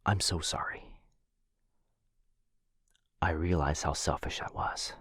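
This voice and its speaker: A man playing an apologetic, protective vampire lord in an intimate boyfriend ASMR roleplay, with a breathy, husky voice that often drops to a whisper and a hesitant, tender delivery. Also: a bass voice, steady tone, takes it slowly, fairly bright tone, full character voice. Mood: remorseful, earnest. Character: apologetic, protective male vampire lord, soft-spoken and earnest.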